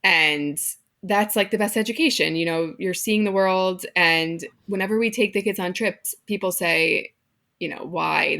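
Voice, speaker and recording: A woman's voice: fairly low pitch, gravelly, a bit bright. A woman in her thirties, born in Hong Kong, a mother of twins speaking candidly and matter-of-factly as a podcast guest. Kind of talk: casual interview chat.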